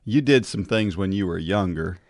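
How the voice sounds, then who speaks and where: A man's voice: low-pitched, moderate pace, no echo, studio quality. A man hosting a casual talk show, chatty and encouraging, often restarting his sentences and tagging them with "right?". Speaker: podcast host. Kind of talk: casual chat.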